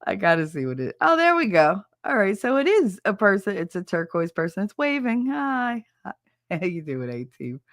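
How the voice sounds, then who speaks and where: Stuffy, gravelly New host. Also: mid-range voice, big pitch swings, moderate pace, a bit bright, no room sound, studio quality. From New Jersey. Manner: rambling, chatty, self-deprecating, greets chat viewers. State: stuffy, nasal from a cold. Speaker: livestream host. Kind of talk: casual live-stream chat.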